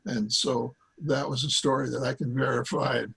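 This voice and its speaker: An American man in his seventies chatting casually and haltingly on a video call from home, often repeating his words. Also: mid-range voice, level tone, vocal fry, fairly bright tone, slightly rough. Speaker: club member. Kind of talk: casual chat. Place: cluttered small room at home, on a video call. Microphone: computer mic on a video call.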